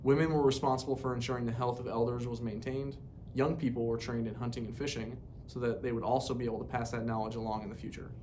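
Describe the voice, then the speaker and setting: Male narrator, medium pitch, formal scripted educational read, cheap microphone, clear low rumble behind. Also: flat delivery, fast, mellow. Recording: poor mic, clear rumbling background noise.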